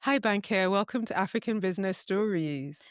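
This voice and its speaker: A woman hosting a business interview podcast, reading a measured scripted intro and then chatting in a friendly, easy way with her guest. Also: mid-range voice, no echo. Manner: measured, friendly questioning with light laughs. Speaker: podcast host. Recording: dry close sound with faint music under intro.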